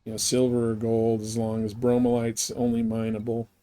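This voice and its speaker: A man's voice: medium pitch, monotone, moderate pace, warm tone. A man giving casual, rambling gameplay commentary as a live streamer, explaining things as he goes.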